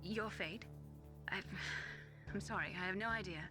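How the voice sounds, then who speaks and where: A woman playing a serious, measured scientist in a video game. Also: medium pitch, lightly acted. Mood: regretful. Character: serious, regretful female genetic scientist. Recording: game dialogue under loud music.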